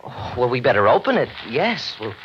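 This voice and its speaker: A man's voice: medium pitch, big pitch swings, moderate pace, lightly acted. A man playing a fussy, apologetic laundry clerk in an old radio drama, dithering, stammering and repeating himself over-politely. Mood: flustered, apologetic. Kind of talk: old-time radio comedy-relief acting. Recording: muffled, noisy old radio recording.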